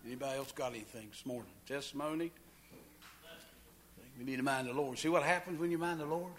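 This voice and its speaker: A man's voice: medium pitch, quick pace, slight background noise. Fervent pastor man calling out amens. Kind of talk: leading a church service. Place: church sanctuary.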